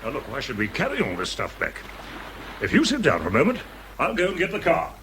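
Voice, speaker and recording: British male for a hearty, unflappable officer; extremely animated pitch, breezy radio drama manner, slight background noise. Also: fast talker. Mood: hearty, reassuring. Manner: breezy, encouraging, clipped old-chap speech.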